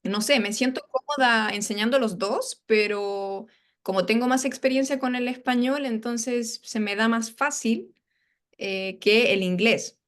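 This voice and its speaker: A woman from Chile with a soft Chilean Spanish accent, chatting as a language teacher on a video call, often tagging her sentences with questions. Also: on the high side, unhurried. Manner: relaxed, chatty, many tag questions. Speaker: Spanish and English teacher, interview guest. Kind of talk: casual interview over video call.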